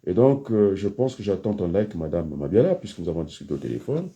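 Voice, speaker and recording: A man giving a rambling political monologue, hesitant with many fillers, then bursting into emphatic high outbursts. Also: sing-song, quick pace, mellow. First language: French. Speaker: political commentator.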